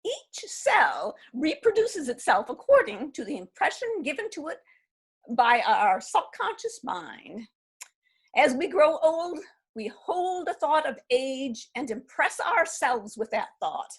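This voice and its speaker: An actress reading the part of a defiant seventy-one-year-old divorcee who laughs at old age, in a readers theatre play. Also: female voice, high-pitched, big pitch swings, slow, heavily acted, rough recording. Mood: gleeful. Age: 70s. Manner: laughing, brash and amused. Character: spirited elderly divorcee, mischievous and defiant. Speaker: actor.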